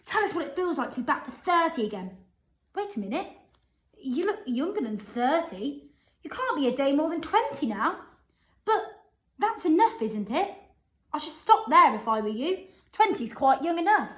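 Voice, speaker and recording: A woman doing an excited old grandpa. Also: sits high, normal speed, pitched above the performer's own voice. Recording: echoey room.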